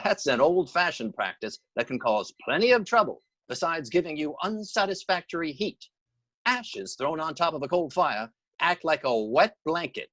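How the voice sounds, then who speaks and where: An actor playing a heating expert in a vintage radio commercial, giving formal, instructive household advice. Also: male voice, fairly high pitch, animated, normal speed, fairly bright tone. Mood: helpful, earnest. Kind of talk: scripted 1940s radio commercial.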